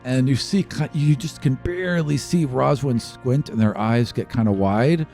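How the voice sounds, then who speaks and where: A man's voice: medium pitch, normal speed, creaky, a little mellow, soft background music. A man running a tabletop game as game master, improvising narration in a casual way.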